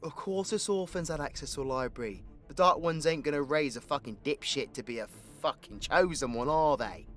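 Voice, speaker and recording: Male actor as cocky British supervillain, bright forceful voice, cheeky and sweary, theatrical swoops, audio roleplay, music behind. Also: fairly high pitch, fairly quick, hardly any pauses, close to an everyday voice. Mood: storytelling, wry. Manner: cheeky, sweary, colloquial, theatrical swings into exclamation. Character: cocky, foul-mouthed, sarcastic British male supervillain telling history. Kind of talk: villain audio roleplay, rambling comedic storytelling.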